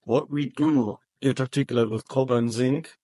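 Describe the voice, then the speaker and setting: Man, halting speech. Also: medium pitch, fairly even intonation, mellow, no room sound, studio quality. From Germany. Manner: halting, many restarts and repeated words. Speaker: roofing company manager. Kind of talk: casual interview answers.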